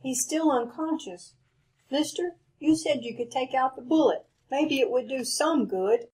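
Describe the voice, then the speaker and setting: An actress playing an anxious, determined wife of an outlaw in an old-time radio western, earnest and husky. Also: female voice, high-pitched, measured pace, a little mellow, poor audio. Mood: pleading, determined. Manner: earnest, pleading, firm.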